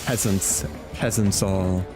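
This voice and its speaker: A man's voice: low-pitched, steady tone, slow pace, voice pushed down. A man voicing a haughty, scheming male inquisitor villain from a video game, delivered in a sneering, theatrical way, with game music behind. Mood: scornful.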